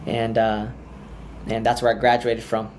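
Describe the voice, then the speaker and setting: A man's voice: fairly low pitch, monotone, measured pace, a little mellow, slight background noise. A man in his thirties, a pastor raised in the US after early childhood in Ukraine, talking casually as a podcast guest with lots of fillers and restarts. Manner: chatty, many fillers and restarts.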